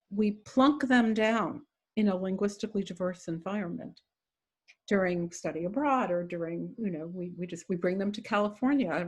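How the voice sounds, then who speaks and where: A woman's voice: mid-range voice, darkish tone, husky. An older woman lecturer answering audience questions over a video call, thoughtful and full of small hedges and dry asides. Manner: thoughtful, hedging, self-correcting with dry humor. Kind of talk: academic Q&A, conversational.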